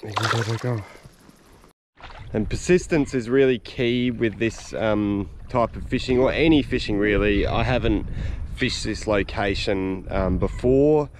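A man's voice: lowish voice, a little hiss or hum. Laid-back Australian man fishing outdoors. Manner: relaxed, enthusiastic, drawn-out praise. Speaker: fishing show host. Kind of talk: casual outdoor commentary. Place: outdoors, wading a coastal sand flat. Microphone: camera-mounted mic, selfie and chest cam.

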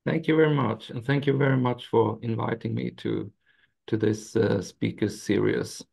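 A man's voice: medium pitch, fairly even intonation, moderate pace, creaky, a little mellow. A man in his forties from Sweden with a Swedish accent, giving a careful academic talk over a video call with many hesitations. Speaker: guest speaker.

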